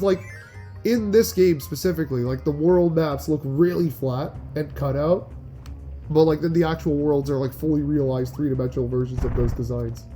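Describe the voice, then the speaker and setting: A man's voice: a little high, warm tone. Chatty young man over game music. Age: young adult. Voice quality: slightly nasal. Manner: rambling, chatty, frequent restarts and filler likes. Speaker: gaming channel host. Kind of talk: casual gameplay commentary.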